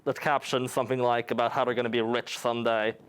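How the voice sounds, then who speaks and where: A man's voice: mid-range voice, level tone, quick pace, smooth. A young man from New York, a student guest in a campus TV studio, answering with dry, mock-grandiose humor. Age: young adult. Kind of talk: tongue-in-cheek interview answers.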